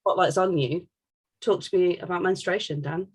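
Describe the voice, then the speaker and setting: A woman's voice: fairly low pitch, normal speed, slightly rough. A woman chatting casually on a podcast in a frank, teasing way as she questions someone. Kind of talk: casual chat.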